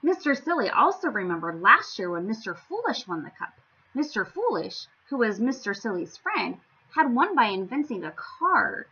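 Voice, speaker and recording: Woman, children's story-time reader, highly expressive, playful theatrical emphasis, bright forceful tone, lively swooping pitch. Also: normal speed, breathy edge, muffled recording. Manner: theatrical, playful emphasis addressed to young listeners. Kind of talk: expressive storybook read-aloud.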